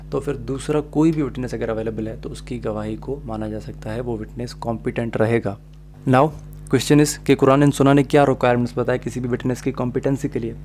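Brief: male online law tutor, monotone delivery, plain explanatory lecture. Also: mid-range voice, slight background noise. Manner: mixes English into explanations, steady explaining tone. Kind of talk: lecture over animated slides.

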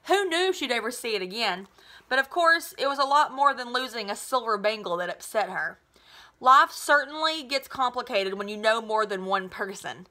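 High, bright North American woman reading aloud. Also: expressive, moderate pace. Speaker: teacher reading aloud to students. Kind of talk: expressive children's novel read-aloud. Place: small living room at home, indoors.

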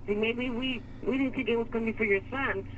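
A woman working as a store clerk, patiently and haltingly explaining things on a customer service phone call. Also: a little high, fairly even intonation, fairly bright tone. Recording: dull, noisy telephone line.

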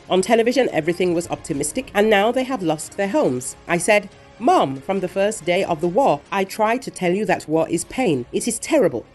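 Low-voiced woman reading war news. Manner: even, measured reading of quotes and reports. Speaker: news narrator. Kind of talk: scripted news narration. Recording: voice-over with faint music underneath.

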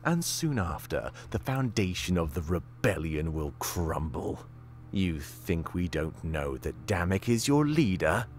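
An actor playing a menacing male beast interrogator in a fantasy game, smug and deliberately intimidating. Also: low-pitched, very animated, faint music behind. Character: sinister, menacing anthro beast interrogator, male, smugly threatening.

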